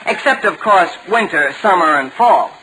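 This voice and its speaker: A man's voice: high-pitched, expressive, normal speed, sharp tone, poor audio. An actor playing an earnest, droll young man giving a testimonial in a 1940s radio commercial. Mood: smugly earnest. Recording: hissy vintage 1940s radio recording.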